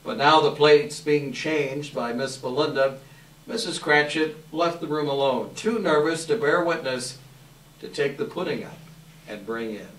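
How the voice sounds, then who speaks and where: A man giving an expressive live dramatic reading of a classic story as narrator, with measured phrasing. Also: a little high. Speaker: narrator, readers theater volunteer. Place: live event room. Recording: echoey room, distant microphone.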